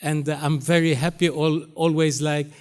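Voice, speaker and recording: A man from Syria, raised in Lebanon, with Arabic as his first language, giving a keynote speech as a Jesuit priest, pausing often with many fillers. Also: on the high side, flat delivery. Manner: halting, many pauses and fillers. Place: university hall stage.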